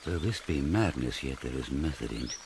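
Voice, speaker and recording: An actor playing a fussy, scheming old courtier with an obsequious, deferential manner in a Shakespearean radio drama. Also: male voice, rumbling low, expressive, normal speed, creaky, darkish tone, a little hiss or hum, full character voice. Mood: musing aside. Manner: obsequious, muttering asides.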